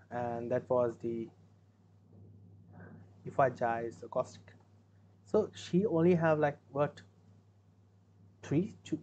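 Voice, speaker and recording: A man's voice: medium pitch, warm tone, slight background noise. Chatty Indian man reacting to music. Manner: rambling, self-correcting, chatty. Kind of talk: casual reaction commentary. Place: small room indoors.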